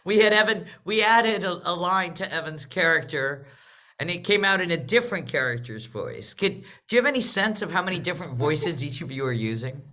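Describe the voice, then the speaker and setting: A woman's voice: lowish voice, lively intonation, a little fast, pushed and bright. A woman hosting a theatre panel discussion in a conversational way. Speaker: host, theatre leader.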